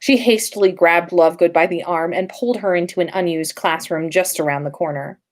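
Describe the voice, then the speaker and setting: A woman narrating fan fiction aloud as a podcast host, in an expressive storytelling style. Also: on the low side, fairly quick.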